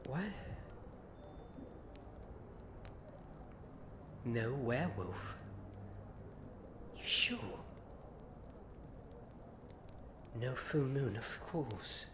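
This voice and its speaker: A man playing a welcoming wizard-school teacher in an ASMR roleplay, speaking softly and a little haltingly. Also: medium pitch, very animated. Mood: relieved, concerned. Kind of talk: soft-spoken ASMR roleplay. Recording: clear ambient noise behind the voice.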